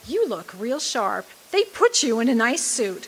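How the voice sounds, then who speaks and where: A woman's voice: fairly high pitch, big pitch swings, measured pace, breathy edge, heavily acted. An actress playing a brash, wisecracking woman outlaw in a radio play. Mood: breezy, welcoming. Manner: blunt, wisecracking. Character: brash, sassy, hard-drinking dead woman outlaw. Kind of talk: stage radio drama acting.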